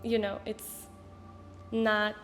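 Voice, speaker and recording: A woman's voice: medium pitch, completely flat delivery, extremely slow, a bit bright, faint music behind. A woman in her twenties from Israel, speaking English as an as an interview guest on a handheld mic, hesitant, with many fillers and restarts. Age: 20s. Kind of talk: casual interview.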